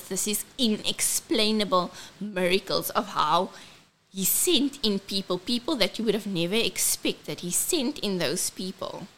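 Squeaky-voiced South African woman. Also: a bit bright. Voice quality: squeaky, childlike voice. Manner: earnest and heartfelt, switching between English and Afrikaans. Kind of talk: personal testimony and event announcement.

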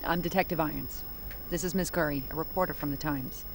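An actress in an audio drama playing a world-weary female police detective, terse and understated, with a low voice. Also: brisk, soft background music. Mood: businesslike, grim.

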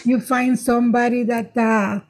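A middle-aged woman, a comedian guest telling stories in animated, restarting bursts. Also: a little high, unhurried. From Nicaragua. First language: Spanish. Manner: animated storytelling, frequent restarts and laughs. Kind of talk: casual interview chat.

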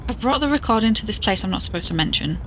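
An actress as low-voiced chatty female investigator. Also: expressive, very fast, a bit bright, slight background noise, rough recording. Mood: casual, friendly. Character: female amateur investigator, podcast co-host and friend. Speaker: podcast co-host. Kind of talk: scripted audio drama, conversational podcast.

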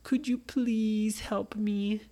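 A woman's voice: fairly even intonation, very slow, warm tone. A woman voicing a sweet, eager young girl who coaxes and gushes with praise. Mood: sweet, pleading. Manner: coaxing, gushing, high and breathy praise.

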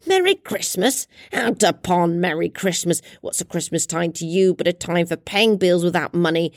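A woman's voice: on the low side, no echo, clean studio sound, lightly acted. A woman voicing a stern, grumpy old miserly uncle in an audiobook, curt and indignant in manner. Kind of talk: dramatic audiobook character reading.

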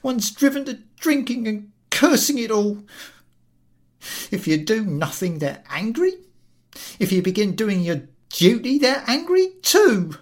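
A man's voice: sits high, big pitch swings, a little slow, voice pushed up. A man voicing an over-zealous, easily flustered railway ticket collector in a storybook reading, officious and whining. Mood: aggrieved complaint. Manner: whining, officious, stammering when cornered.

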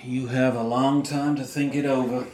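A man's voice: medium pitch, level tone, normal speed, darkish tone, slight background noise, close to an everyday voice. Male actor as a stern, clipped, grieving middle-aged dad; roomy echo. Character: grieving father of a murdered daughter, stern and restrained. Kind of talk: dramatic dialogue acting.

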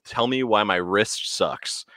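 A man's voice: fairly low pitch, slow pace, bright, forceful tone, dry recording. A man, chatting casually with lots of swearing and stumbling restarts. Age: 20s. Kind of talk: casual chat.

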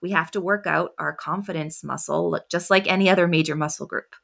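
A woman speaking as a coach in a podcast interview. Her voice is low and slightly gravelly, soft and quiet. She talks in a reflective, unhurried way, with long pauses between her thoughts. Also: fairly even intonation. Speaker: confidence coach, podcast guest.

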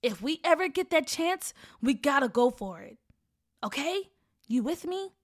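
A woman's voice: high voice, very animated, unhurried. A woman voicing a plucky young girl in a story, earnest and casual in her way of talking. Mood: determined.

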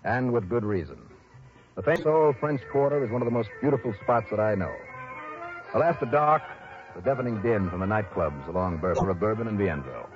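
An actor playing a wry, brisk insurance investigator in a 1960s radio drama, narrating with punchy, clipped emphasis. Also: male voice, on the low side, animated, natural voice. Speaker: lead actor and narrator of a radio detective series. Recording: dull old radio broadcast with music.

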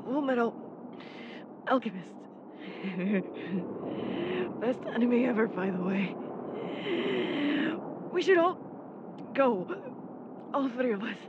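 An actress in an audio drama playing an injured young anime fan, brave and affectionate, who stammers weakly through pain. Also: female voice, on the high side, big pitch swings. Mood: wistful, playful. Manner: halting, stammering, weak and pained speech. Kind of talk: naturalistic audio drama acting. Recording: muffled, loud vehicle noise. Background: vehicle driving noise.

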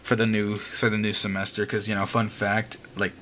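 A man's voice: lowish voice, little pitch movement, normal speed, pushed and bright, slight background noise. A young man hosting a casual podcast, rambling loosely, laughing often and swearing now and then. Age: young adult. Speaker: podcast host. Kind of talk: casual chat. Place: home room with a fan running. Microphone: home mic over a voice call.

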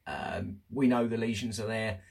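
A man talking candidly and casually as host of a personal diary show, chatty but halting, pausing for emphasis. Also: lowish voice, creaky, darkish tone, slightly rough. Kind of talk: casual spoken diary update.

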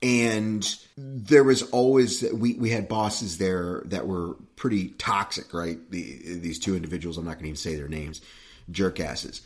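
A man's voice: low-pitched, measured pace. A man co-hosting a podcast in casual chat, telling stories with jokes and teasing. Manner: animated, joking storyteller. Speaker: podcast co-host.

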